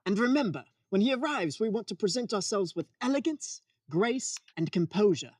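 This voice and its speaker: A woman playing a fussy, nervous gentleman architect with a formal, flustered manner in a radio comedy sketch. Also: mid-range voice, expressive, normal speed, slightly rough, no echo, studio quality. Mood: bossy, composed.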